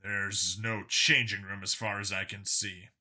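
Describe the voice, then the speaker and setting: A man's voice: fairly low pitch, level tone, a little slow, pushed and bright, pitched below the performer's own voice, exaggerated voice. A man voicing a blunt, sardonic human policeman stranded among aliens in a science fiction audiobook, insistent and plain-spoken. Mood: wary, irritated.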